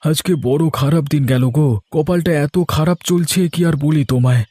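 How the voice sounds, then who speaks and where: A man's voice: a little high, moderate pace, mellow, slightly rough, dry recording. A man playing a poor, downhearted fisherman in a cartoon, lamenting plaintively. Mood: dejected. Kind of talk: cartoon dialogue acting.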